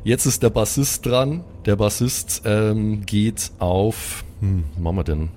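A man improvising the narration of a tabletop role-playing game, descriptive and pausing as he invents. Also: fairly low pitch, fairly even intonation, warm tone, slight background noise. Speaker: game master.

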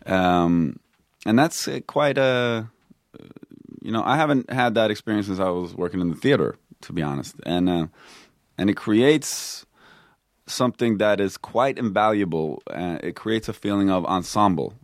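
A middle-aged man from Sweden, a native Swedish speaker, talking as an actor guest in a casual interview, pausing and restarting often. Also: fairly low pitch, expressive, no echo, studio quality. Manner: halting, many restarts and fillers.

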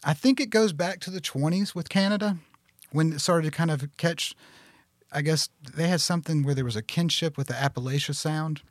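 A man's voice: a little high, fairly quick, no room sound, clean studio sound. A man hosting a country music podcast, chatty and joking in a folksy way. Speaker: podcast host. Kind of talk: casual interview chat.

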